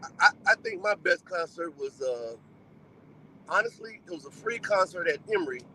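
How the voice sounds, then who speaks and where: A man joining a casual radio chat who tells stories in an animated, drawling way. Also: on the high side, moderate pace, a little hiss or hum, poor audio.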